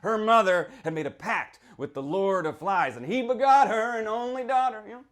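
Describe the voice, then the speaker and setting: A man performing a dramatic reading as a haunted, folksy small-town man who tells his tale in halting, rueful asides. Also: high voice. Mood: fervent, conspiratorial. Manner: folksy, halting, rhetorical asides, swings between hush and outburst. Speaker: author reading from his novel. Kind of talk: theatrical dramatic reading, first-person monologue.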